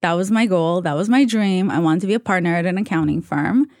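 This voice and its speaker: Low-voiced woman, chatty and candid. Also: a little fast, darkish tone, no room sound, clean studio sound. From Russia, raised in Israel. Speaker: podcast guest. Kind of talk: casual interview chat.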